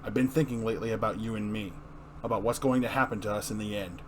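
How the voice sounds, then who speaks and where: A man's voice: on the low side, steady tone, fast, slight background noise, close to an everyday voice. A man voicing a grim, earnest and threatening vigilante hero in an amateur comic book reading. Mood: solemn, grave.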